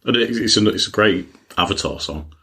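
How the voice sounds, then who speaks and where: A young man co-hosts a relaxed podcast in a fairly low voice. He talks in an easygoing, casual way, often pausing and restarting mid-sentence as he gathers his thoughts. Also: husky. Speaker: podcast co-host. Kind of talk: casual chat.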